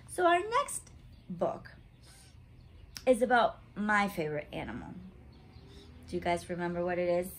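A woman's voice: medium pitch, slight background noise. A woman in her thirties hosting story time for young children, speaking expressively and simply, as if straight to a child, in a room at home. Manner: expressive, simple child-directed talk with questions. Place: small room at home, indoors.

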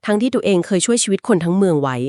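A woman's voice: mid-range voice, animated, a little mellow, dry recording. Woman retelling a legend, dry close sound. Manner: explains at length with polite particles and filler pauses. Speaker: podcast co-host. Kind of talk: conversational storytelling of a folk legend.

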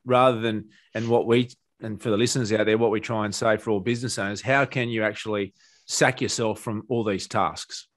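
A man's voice: lowish voice, steady tone, a little fast, dry recording, studio quality. A man working as a business coach, talking conversationally and explaining as he interviews a client. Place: small home office on a video call. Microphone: headset or desk mic, close.

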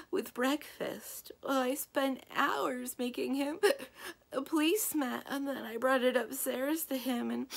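A woman voicing a tearful, hurt young girl in a storybook, with halting, drawn-out sobbing speech. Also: high voice, creaky, voice pushed up, heavily acted.

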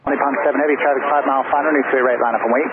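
A male air traffic controller rattles off clipped instructions over aviation radio. The sound is tinny and narrow, with clear noise. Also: mid-range voice, fairly even intonation, very fast. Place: airport control tower radio.

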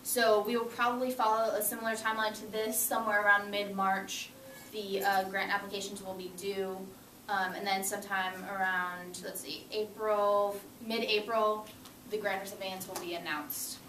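A woman's voice: medium pitch, flat delivery, moderate pace, pushed and bright. Young woman student leader, chatty and upbeat, roomy echo, recorded from the back of the room. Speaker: student group co-president. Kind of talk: casual presentation. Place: lecture room. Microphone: far camera. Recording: echoey room, far camera, clear room noise.

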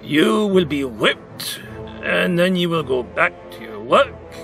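A man voicing a furious, tyrannical high king in a storybook, bellowing slow commands. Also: very animated, vocal fry, music in the background, heavily acted.

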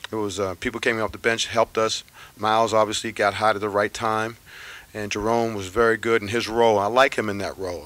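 Middle-aged coach, low bright voice. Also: male voice. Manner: measured, thinking aloud with many fillers. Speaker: head basketball coach. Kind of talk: postgame press conference answers. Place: press room with sponsor backdrop. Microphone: table microphones at a press conference.

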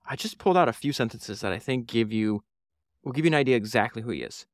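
A man's voice: mid-range voice, expressive, fast, dry recording, studio quality. A man, hosting a solo podcast and talking through a book in an earnest, rambling way with many fillers and restarts. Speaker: podcast host.

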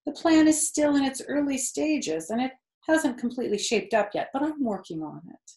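A woman voicing a calm, motherly female spider in a children's storybook, reassuring, collected and gently instructive. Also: high voice, moderate pace, pitched above the performer's own voice. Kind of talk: read-aloud children's storybook. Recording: roomy, echoey indoor sound.

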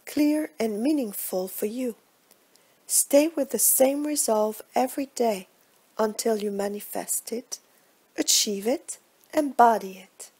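Woman doing guided yoga nidra, slightly high soft breathy voice, really slow and hushed. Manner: slow, measured, hushed delivery with soft breathy phrase endings. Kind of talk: guided relaxation meditation, scripted instruction.

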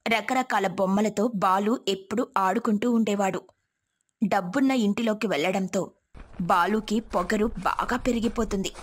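A woman's voice: mid-range voice, slight background noise. A woman telling a moral tale as a scripted storyteller.

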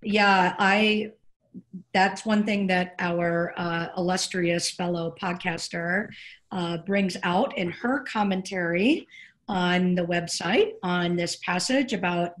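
Earnest middle-aged woman, lowish voice. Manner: earnest, reflective, gestures with hands while talking. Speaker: podcast co-host discussing preaching. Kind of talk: casual podcast discussion. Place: home room over a video call.

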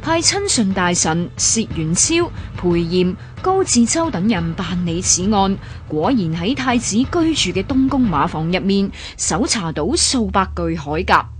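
A woman's voice: medium pitch, sing-song, unhurried, few pauses. A woman with a Hong Kong Cantonese accent reading scripted historical narration. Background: background music.

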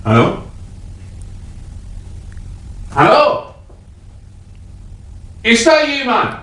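An actor playing a cool, wry amateur detective in a 1960 radio mystery, with dry, theatrical banter. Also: male voice, very high voice, wildly swooping pitch, takes it slowly, a bit bright, full character voice. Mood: calling out. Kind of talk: 1960 German radio mystery play acting. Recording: vintage vinyl radio play, noticeable surface noise.

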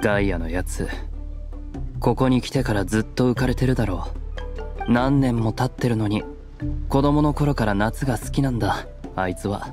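A man's voice: medium pitch, normal speed, long pauses, lightly acted. Man acting as stoic young nobleman, video game, restrained, serious, protective, faint background music. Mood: dismissive, cool. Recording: studio voice over game music.

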